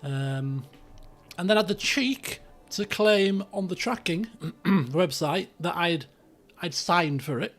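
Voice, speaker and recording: A British man with a croaky voice, chatting casually and in a rambling, colloquial way as he hosts a game livestream. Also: high voice, lively intonation, slow pace, a bit bright. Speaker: game streamer, host.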